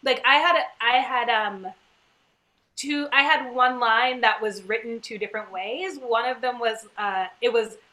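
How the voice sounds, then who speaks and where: Bright, higher-pitched woman, animated and searching. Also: low-quality recording. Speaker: stage actor in a cast discussion. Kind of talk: casual panel conversation.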